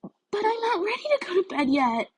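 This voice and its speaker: Woman putting on a high, whining, excitable teenage girl. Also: moderate pace. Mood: whiny pleading. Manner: squealing, whining, pleading. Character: excitable, pleading teenage superhero schoolgirl, bubbly and whiny.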